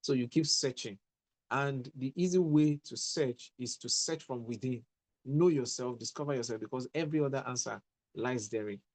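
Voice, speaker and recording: A man's voice: mid-range voice, moderate pace. A man leading an online mentorship session, teaching in an emphatic, motivational way and often repeating his points. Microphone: online call audio.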